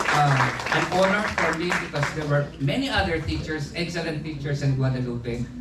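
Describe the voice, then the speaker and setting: Older Filipino man giving a party speech. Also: fairly high pitch. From Philippines. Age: 70s. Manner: unhurried, many "uh" pauses and restarts. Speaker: birthday celebrant giving a speech. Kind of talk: personal speech at a family party. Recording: echoey room, far from mic, background noise.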